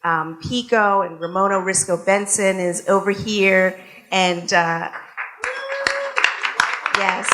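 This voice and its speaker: A woman hosting a live panel, welcoming the audience into a room. She talks casually at a middle pitch, with easy fillers and restarts. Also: background noise. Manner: warm, chatty, with frequent fillers and restarts. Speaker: radio station executive and event host.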